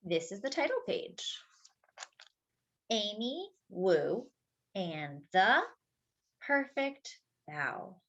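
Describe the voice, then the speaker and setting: A woman's voice: mid-range voice, sing-song, drawn-out words, long pauses, a bit bright, lo-fi sound. A woman hosting story time, reading a picture book expressively for young children, stretching key words and adding simple explanatory asides.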